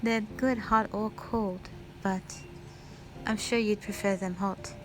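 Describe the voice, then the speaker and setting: A woman playing a gentle, devoted dragon wife in a romantic audio roleplay, speaking tenderly in formal, old-fashioned phrasing. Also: medium pitch, fairly even intonation, takes it slowly, lightly acted. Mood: warm, attentive. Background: music.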